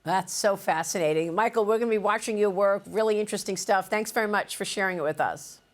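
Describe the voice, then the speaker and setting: A woman's voice: medium pitch, quick pace. A woman anchoring a TV business news show, reading a polished, upbeat intro and asking questions in a studio. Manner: polished, upbeat broadcast delivery.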